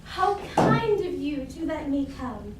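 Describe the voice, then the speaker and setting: A woman playing a brash, stubborn young Cockney flower girl in a stage musical, talking and half singing loudly. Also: high-pitched, big pitch swings, slow pace, full character voice. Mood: prim. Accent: Cockney, put on for the role. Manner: stubborn, loud, half sung. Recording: echoey stage, mic far off, faint noise.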